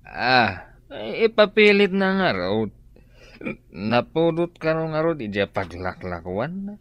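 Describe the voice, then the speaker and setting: A man's voice: a little high, very animated, slow, dry recording, highs cut off, exaggerated voice. A man playing a hapless, idle, grumbling father in a radio drama, muttering and grunting between phrases. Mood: whiny, flustered. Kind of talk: Ilocano radio drama acting.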